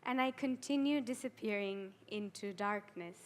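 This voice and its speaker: A woman performing a dramatic stage monologue as a frightened, ashamed adolescent girl, deliberate and full of heavy pauses. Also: mid-range voice, close to an everyday voice. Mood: despairing. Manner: slow, deliberate, with heavy pauses and swelling emphasis.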